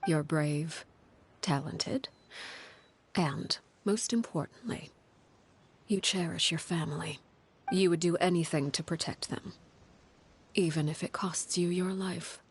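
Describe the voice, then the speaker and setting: Game role: stern female head of a secret family. Low register, formal, measured, authoritative. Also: a little hiss or hum. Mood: cool, measured. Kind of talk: scripted video game dialogue.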